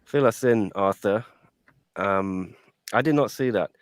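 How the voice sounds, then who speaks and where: A man hosting a podcast, relaxed and conversational as he reads out listener comments. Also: lowish voice, unhurried, clear, resonant tone, no room sound. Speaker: podcast host. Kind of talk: casual chat, reading listener comments.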